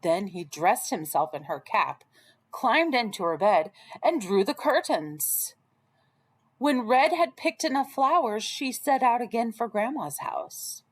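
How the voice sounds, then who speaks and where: A woman narrates a children's tale in an expressive read-aloud style. She takes her time with each phrase and moves her pitch through wide, animated swings. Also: a little slow. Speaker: storyteller.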